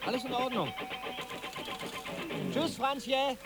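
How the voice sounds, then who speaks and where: An actor playing a bold, unflappable schoolboy with a cool, cocky manner in a radio drama. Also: male voice, very high-pitched, slow pace. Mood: upbeat, confident. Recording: studio radio drama with music behind.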